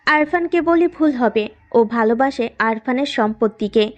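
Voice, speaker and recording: A woman narrates a romantic story from a script. Her voice is high, and she tells it as the storyteller. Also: expressive, normal speed, lo-fi sound.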